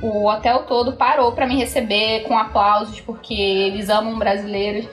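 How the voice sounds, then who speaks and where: A woman's voice: fairly high pitch, flat delivery, normal speed, a bit bright, soft background music. A young woman, telling her own story conversationally in an interview. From Brazil, Rio de Janeiro. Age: young adult. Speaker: capoeira practitioner interviewee.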